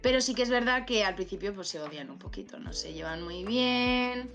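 A woman's voice: mid-range voice, expressive, normal speed, a bit bright, soft background music. A young woman from chatting animatedly on a headset during a video call, swearing casually and acting out quotes. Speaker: podcast co-host. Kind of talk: casual chat. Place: home room, indoors. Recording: dull lo-fi call audio.

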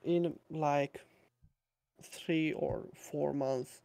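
A young man from Hungary, a Hungarian speaker, talking slowly with many fillers as a guest on a casual online interview. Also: fairly high pitch, fairly even intonation, gravelly, warm tone, dry recording. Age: young adult. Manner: slow and hesitant, many uh fillers, nervous laughs. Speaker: guest musician. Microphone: home mic with external sound card.

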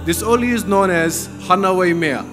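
A man giving a deliberate, ceremonial commencement speech from a podium, with some Hawaiian phrases. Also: sits high. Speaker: commencement speaker at a school. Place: podium in a school gymnasium. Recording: speech over loud background music. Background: music.

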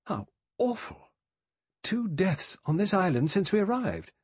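A man's voice: mid-range voice, big pitch swings, fairly quick, a little mellow, slightly rough, no room sound. A man voicing an earnest, anxious young woman in an audiobook. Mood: shocked.

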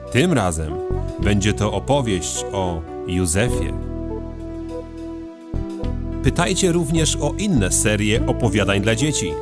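A man reading a scripted promotional announcement as an announcer, with music behind him. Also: on the low side.

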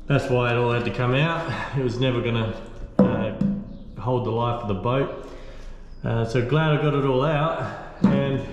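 A man in his thirties casually explaining his boat restoration work, rambling with fillers, filmed on a steel boat outdoors on an action camera. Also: mid-range voice. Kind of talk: casual show-and-tell narration. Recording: echoey with long reverb, faint noise.

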